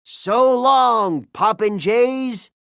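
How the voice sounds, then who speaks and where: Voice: medium pitch, drawn-out words, a bit bright, smooth, no room sound, clean studio sound, voice pushed up. A storybook narrator voicing a blustering, scheming male pirate captain in a commanding, theatrical way. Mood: taunting.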